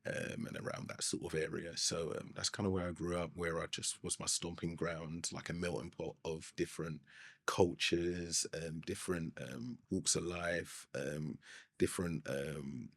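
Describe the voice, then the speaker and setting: A man's voice: deep voice, fairly even intonation, normal speed, gravelly, no echo. A man in his thirties with an East London accent, talking casually as a brand co-founder. His speech is laid-back and full of "um"s and "like"s. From England. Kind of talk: casual conversation.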